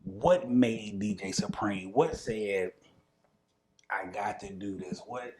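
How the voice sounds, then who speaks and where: A man's voice: lowish voice, takes it slowly, a little mellow. An American man hosting a casual interview show, asking questions in an informal, slangy, conversational way.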